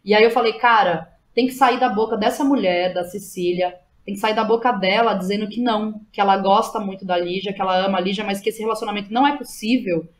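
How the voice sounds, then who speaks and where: A woman with a São Paulo Brazilian accent speaking as a guest playwright, telling stories in a casual, slangy, animated way and often quoting herself. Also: medium pitch, quick pace, hardly any pauses. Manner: animated storytelling, slangy, quotes herself and others. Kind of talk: casual conversational interview.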